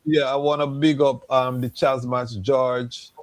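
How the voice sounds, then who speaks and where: A man hosting a live online talk show. He speaks informally, with plenty of fillers. His voice sits at a middle pitch, and the recording is dry. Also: little pitch movement, a little slow, no echo. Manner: informal, conversational, with frequent fillers. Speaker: host. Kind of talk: casual live interview.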